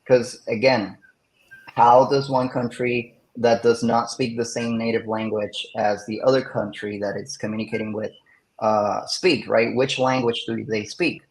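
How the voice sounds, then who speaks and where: A man, speaking at a middle pitch, gives answers as a guest on an academic podcast. He works through ideas as a teacher would and keeps checking in with his listeners by asking "right?". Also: level tone, a little slow, low-quality recording. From Puerto Rico. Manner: explains with rhetorical questions and frequent tag "right?". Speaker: interviewed English teacher.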